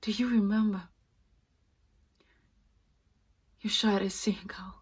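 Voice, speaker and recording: A woman playing a fragile, distraught young actress in a dramatic, halting stage monologue. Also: mid-range voice, fairly even intonation. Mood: hushed, dazed. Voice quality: breathy, often dropping to whispers. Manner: halting, intense, swinging from hushed to loud.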